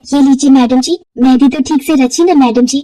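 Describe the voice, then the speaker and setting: A woman's voice: high-pitched, fairly even intonation, fairly quick, warm tone, dry recording, low-quality recording. A woman voicing an earnest, respectful young girl in a Hindi cartoon moral story, eager and reassuring. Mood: polite, earnest.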